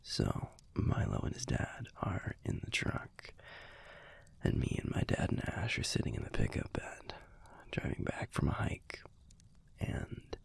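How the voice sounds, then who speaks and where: Man acting as a deep, gravelly werewolf boyfriend, hushed. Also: full character voice. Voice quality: gravelly, husky, breathy. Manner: hushed, halting, conversational delivery with pauses. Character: young male werewolf boyfriend, gruff but fondly reminiscing. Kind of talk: intimate audio roleplay storytelling, hushed.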